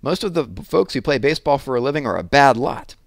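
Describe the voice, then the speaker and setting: A man's voice: mid-range voice, very animated, fast, vocal fry, no echo, voice pushed up. A stern, loving storybook Ma, played by a male reader, speaks with firm disapproval and old-fashioned turns of phrase. She is skeptical, and the sound is dry and close. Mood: alarmed, disapproving. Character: stern but loving, skeptical working-class mother.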